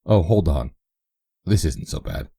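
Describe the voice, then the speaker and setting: A man's voice: low voice, expressive, measured pace, mellow, slightly rough, no echo, full character voice. A man voicing an older colonel and father who speaks in a dry, grumbling way.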